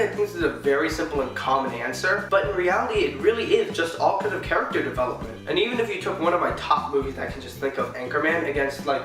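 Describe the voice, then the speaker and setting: A stuffy, congested man talks chattily and eagerly into the camera, with dry sarcastic asides. His somewhat high voice sits back from the mic in a very echoey room. Background music plays clearly under him. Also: distant mic. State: stuffy, sick voice. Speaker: vlogger. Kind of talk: casual vlog commentary.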